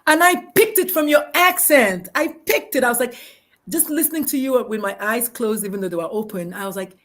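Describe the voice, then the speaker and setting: A woman with a Nigerian accent hosting a live interview, talking in an excitable, rambling, effusive way. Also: a little high, fairly quick. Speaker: host.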